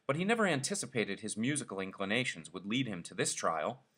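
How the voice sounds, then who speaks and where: A man's voice: fairly low pitch, very animated, brisk, fairly bright tone. A man narrating a short story expressively, as a reader telling it aloud.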